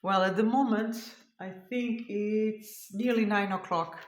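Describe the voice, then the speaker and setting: A woman's voice: medium pitch, steady tone, a little slow, roomy sound. A woman whose first language is Portuguese, hosting a cross stitch vlog, tired at the end of a long day, chatting and thinking aloud as she searches for words. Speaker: cross stitch channel host. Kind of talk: casual show-and-tell vlog.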